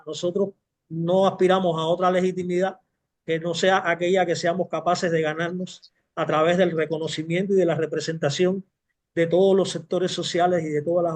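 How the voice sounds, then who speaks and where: Formal, higher-pitched man. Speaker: opposition coalition representative, panel guest. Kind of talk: formal political explanation in a panel.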